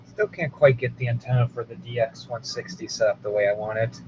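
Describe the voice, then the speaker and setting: Some guy tinkering with radios, mumbly musing to himself, dull audio w static behind him. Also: a little fast. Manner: low-key musing to himself. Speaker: radio hobbyist demonstrating receivers. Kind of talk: casual, off-the-cuff equipment demo. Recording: dull lo-fi sound with radio noise. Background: shortwave radio static.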